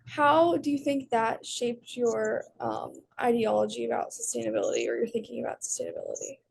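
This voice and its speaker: A teenage girl with a slightly high voice and a gravelly fry. She asks interview questions hesitantly, stopping for ums and letting her phrases trail off. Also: a little fast, low-quality recording. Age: teens. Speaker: student interviewer.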